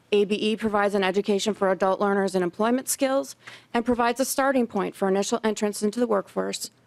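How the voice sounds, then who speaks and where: A woman's voice: mid-range voice, creaky. A middle-aged woman, a community education director, reading a prepared statement in support of a bill at a legislative hearing. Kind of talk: prepared public testimony. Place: legislative committee hearing room.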